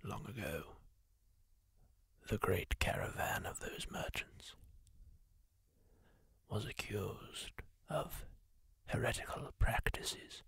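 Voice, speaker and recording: A man performs a gentle masked healer of a dark fantasy world, speaking entirely in an unvoiced whisper. The hush is raspy and gravelly, and the pace is slow and deliberate as he tells a grim tale. The pitch rises and falls widely for emphasis. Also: no room sound, heavily acted. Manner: slow, hushed, solemn storytelling to the listener. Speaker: ASMR roleplay performer. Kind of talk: ASMR roleplay whispered storytelling.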